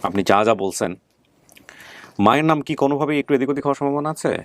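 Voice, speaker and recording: A man hosting a conversational interview, briskly prompting people with short questions and directing them. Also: mid-range voice, lively intonation. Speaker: host. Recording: clear background noise.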